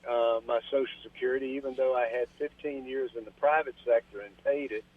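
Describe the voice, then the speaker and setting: A man's voice: medium pitch, fairly even intonation, fairly quick, dry recording. Caller: man in his 70s, earnest and courteous, tinny phone-line sound. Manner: earnest, polite, says "ma'am". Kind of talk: call-in radio question.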